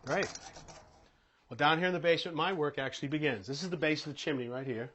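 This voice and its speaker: A man's voice: a little high, quick pace, fairly bright tone, slight background noise. A plain-spoken man hosting a home improvement show, explaining hands-on work step by step in a conversational way. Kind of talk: hands-on how-to demonstration, conversational.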